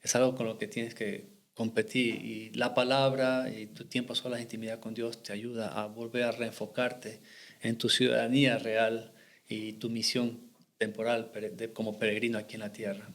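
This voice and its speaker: A middle-aged man from Ecuador talks about his mission work as a podcast guest, in an earnest and reflective way. His voice sits at an ordinary middle pitch for a man, and he pauses to restart a phrase now and then. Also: little pitch movement. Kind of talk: podcast interview, faith testimony.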